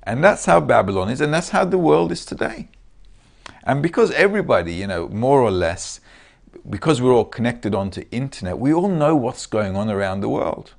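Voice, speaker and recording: A man's voice: medium pitch, big pitch swings, moderate pace, vocal fry. A man with a Turkish accent giving a casual lecture over a video call, often checking in with his listeners. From Turkey.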